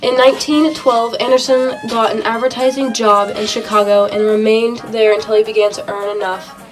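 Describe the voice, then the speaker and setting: A woman's voice: a little high, flat delivery, normal speed, far from the mic, soft background music, rough recording. A young woman reading a scripted radio report as a 1920s-style presenter.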